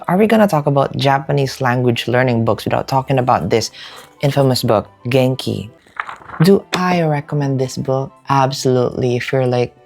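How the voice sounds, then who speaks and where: A young man chats loosely to his camera in a casual vlog, dropping "like" constantly and swearing offhand. His voice sits at an ordinary middle pitch, with faint music playing softly behind him. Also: vocal fry. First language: Filipino. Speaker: vlogger. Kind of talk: casual book-haul vlog.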